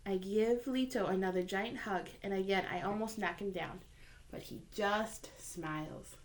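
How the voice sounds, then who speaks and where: A woman reading a children's picture book aloud in an expressive way, lifting her voice for the boy's lines. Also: mid-range voice, unhurried. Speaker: reader.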